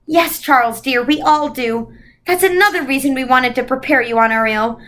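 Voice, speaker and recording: A woman voicing a kindly, chatty old celestial lady, gentle and motherly, in a storybook reading. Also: sits high, normal speed. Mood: gentle, regretful.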